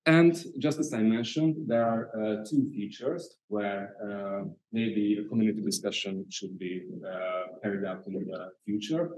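A man's voice: on the low side, darkish tone, low-quality recording. Hungarian-accented man giving a conference talk. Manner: halting, many filler sounds. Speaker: conference speaker. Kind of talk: conference presentation. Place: conference hall.

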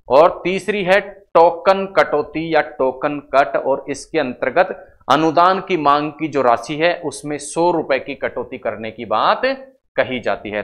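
A man's voice: a little high, fairly bright tone. A man lecturing didactically, asking rhetorical questions, answering them himself and repeating key phrases. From India. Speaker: teacher for competitive exam classes. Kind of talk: classroom lecture explaining to students. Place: indoor studio classroom in front of a digital board. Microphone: clip-on lapel microphone.

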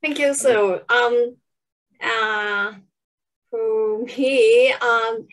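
A woman with a Japanese accent speaking slow, hesitant English on a webinar video call, pausing often to find words. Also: fairly high pitch, fairly even intonation, fairly bright tone, rough recording. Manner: hesitant, many fillers, searching for English words. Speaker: webinar panelist. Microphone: video call laptop mic.

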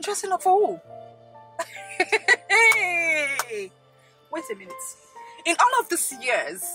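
A woman playing a hot-tempered, money-proud Nigerian woman in a comedy skit, scolding in loud, indignant, repeated phrases with a Nigerian accent. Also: extremely high pitch, big pitch swings, takes it slowly, music in the background, exaggerated voice. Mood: scornful scolding.

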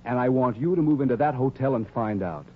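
A man's voice: medium pitch, quick pace, close to an everyday voice. A man playing an earnest, desperate husband, pleading urgently in a 1940s radio comedy. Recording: muffled, hissy 1940s radio recording.

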